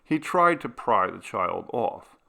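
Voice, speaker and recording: A man reading a horror story aloud as narrator, in a deliberate, dramatic audiobook style. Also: on the low side, sing-song, vocal fry, sharp tone.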